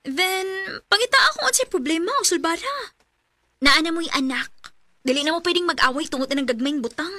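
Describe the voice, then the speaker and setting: A woman's voice: extremely high pitch, animated, fairly quick, sharp tone, exaggerated voice. An actress with a Cebuano Filipino accent playing a candid, teasing young female confidante in a radio drama. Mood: advising.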